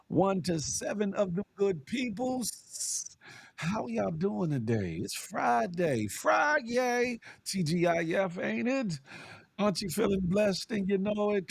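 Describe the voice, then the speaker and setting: A man hosting a live morning show with a scratchy, strained voice. He talks in a lively, encouraging way, with big swoops in pitch and a preacher's ring. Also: vocal fry, slightly rough, dry recording.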